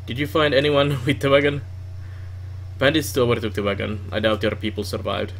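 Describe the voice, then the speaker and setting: A man's voice: medium pitch, little pitch movement, fairly quick, gravelly, slight background noise, natural voice. A Finnish man reading a hearty dwarf smith. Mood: rueful. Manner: reading game dialogue aloud, hearty. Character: cheerful burly male dwarf blacksmith.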